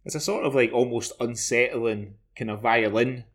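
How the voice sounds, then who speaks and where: A man in his forties with a Glaswegian Scottish accent, chatting casually as a podcast co-host in a rambling, halting way. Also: medium pitch, fairly even intonation. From Glasgow, Scotland. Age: 40s. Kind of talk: casual discussion of television.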